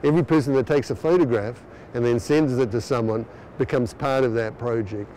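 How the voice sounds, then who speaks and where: A man from Australia gives an interview as an artist in a medium-pitched voice. He speaks reflectively, repeating words for emphasis, over faint noise. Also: a little fast, a little mellow, rough recording. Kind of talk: interview soundbite.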